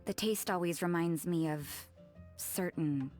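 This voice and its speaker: A woman playing an aloof, world-weary young nun in a video game, blunt, dry and deadpan. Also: lowish voice, unhurried, natural voice. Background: music.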